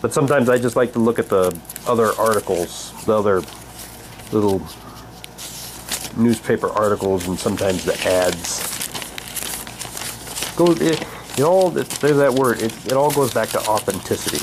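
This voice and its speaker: A man's voice: fairly low pitch, normal speed, pauses often. Man in a noisy home recording. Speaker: unboxing vlogger. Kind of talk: casual commentary, reading prop documents aloud.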